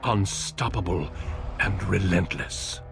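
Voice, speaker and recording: Grave old-guard warrior, very low voice, slow measured delivery, portentous fantasy game narration, music behind. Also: male voice, gravelly, full character voice. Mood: grave, ominous. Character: grave weary veteran male warrior recounting a dark history. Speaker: narrator of a fantasy game's prologue. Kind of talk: dramatic scripted narration.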